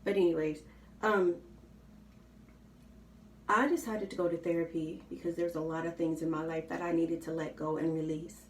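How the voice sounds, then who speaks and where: A soft-spoken woman with a slightly low voice talks in a loose, rambling way, full of ums and you knows. She sits a little back from the mic in an echoey room with faint ambient noise behind her. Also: steady tone, normal speed, pauses often. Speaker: vlogger. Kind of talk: casual chat while doing makeup. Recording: roomy, slightly distant home recording.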